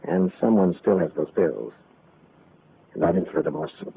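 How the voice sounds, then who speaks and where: Curt low-voiced businessman. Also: very animated, normal speed, creaky, narrow, tinny audio, exaggerated voice. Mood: confessional. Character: guarded, curt, wealthy married businessman. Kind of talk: 1940s radio acting. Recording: thin, noisy old radio recording.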